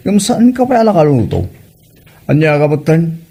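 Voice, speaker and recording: A man's voice: on the high side, big pitch swings, moderate pace, creaky, dark tone, husky, heavily acted. A man acting an exasperated, hungry husband who grumbles and complains in a comedy drama.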